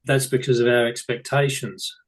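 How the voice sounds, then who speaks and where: A man joins a group discussion on a call. He speaks in a relaxed, plainspoken way. His voice sits in a middle pitch. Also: normal speed. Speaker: session participant.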